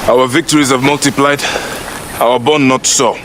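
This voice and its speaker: A grave, grieving Egyptian warrior played by a man with a mid-pitched voice. He speaks slowly and solemnly, like a video game assassin making a vow, over a clearly noisy background. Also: fairly even intonation, close to an everyday voice. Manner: grave, deliberate. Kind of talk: dramatic game cutscene acting.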